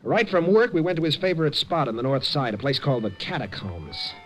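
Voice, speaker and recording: An actor playing a frightened middle-aged man haunted by darkness, in a 1950s radio drama, halting and nervous. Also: fairly high pitch, very animated, soft background music. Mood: uneasy. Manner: nervous, halting, breaks into panic.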